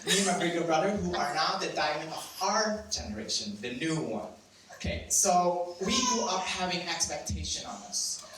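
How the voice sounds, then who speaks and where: Young adult man, Cambodian accent, Khmer native, brightish higher voice, live storytelling, self-mocking and cheeky, faint hum behind. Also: expressive, moderate pace. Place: event venue with audience. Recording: very echoey room, mic at a distance.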